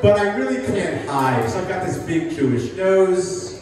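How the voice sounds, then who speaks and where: A man's voice: high voice, lively intonation, a little slow, crowd noise, noisy background. An older man from New Jersey doing a stand-up set in a sing-songy voice that makes statements sound like questions. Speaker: stand-up comedian. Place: live venue with an audience. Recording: echoey hall recording from far off. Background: audience laughter.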